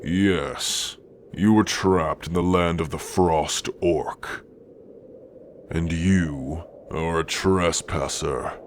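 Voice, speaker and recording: A man voicing a grim, domineering orc warrior of the frozen mountains in a deliberate, menacing ASMR roleplay monologue. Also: low voice, slight background noise. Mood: stern, menacing. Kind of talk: slow, scripted ASMR roleplay monologue addressed to listener.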